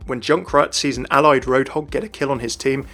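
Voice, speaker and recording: A male narrator delivers game lore commentary at a brisk clip. His voice sits at a middle pitch, with music playing underneath. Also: fairly even intonation, sharp tone. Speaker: video narrator.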